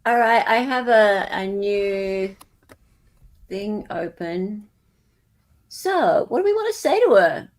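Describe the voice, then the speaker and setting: A woman's voice: medium pitch, expressive, takes it slowly, pauses often. A woman chatting casually as a panelist on a live stream. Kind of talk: casual chat.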